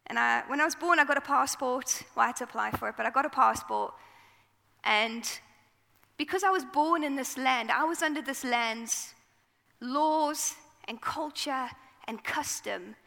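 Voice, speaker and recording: A woman with a South African accent preaching a sermon into a microphone, telling the story in a relaxed, conversational way. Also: high-pitched, moderate pace, creaky, big-room sound.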